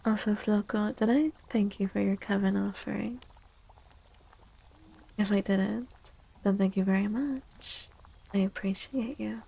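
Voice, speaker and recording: A woman streaming soft-spoken ASMR, chatting gently and playfully with viewers, often close to a whisper and repeating little phrases. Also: mid-range voice, fairly even intonation, a little slow, pauses often, rough recording. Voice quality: soft, breathy, husky, often near whisper. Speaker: ASMR streamer, tarot reader.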